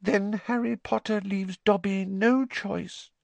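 Squeaky little house-elf guy, nervous and begging, talks about himself in third person, dry close sound. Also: fairly even intonation, slow pace, gravelly, a little mellow, no room sound, full character voice. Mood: pleading. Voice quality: very high, squeaky. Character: small anxious male house-elf, pleading.

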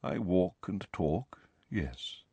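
A man voicing a mournful, refined ghost of a gentleman, speaking softly, slowly and formally with hesitant sighs. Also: fairly low pitch, lively intonation, no room sound. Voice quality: soft, quiet. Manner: slow, hesitant, sighing, formal.

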